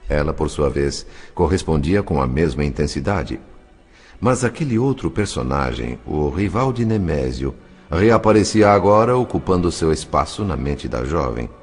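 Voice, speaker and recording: A low-voiced man reads scripted narration with dramatic, lively intonation. His delivery is measured and literary, with faint music behind him. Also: breathy edge.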